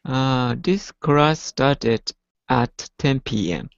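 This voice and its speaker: Casting: male learner of English, gravelly and hesitant, short replies, lo-fi online call sound. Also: mid-range voice, expressive, drawn-out words, no room sound. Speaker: English student. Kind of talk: hesitant short answers in a lesson.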